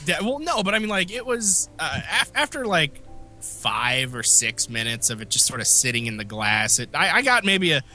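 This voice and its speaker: A man co-hosting a casual podcast, telling rambling stories with a slightly phlegmy, raspy voice. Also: fairly high pitch, big pitch swings, normal speed, bright, forceful tone, faint music behind. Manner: rambling, self-interrupting storyteller. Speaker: podcast co-host. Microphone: remote call mic.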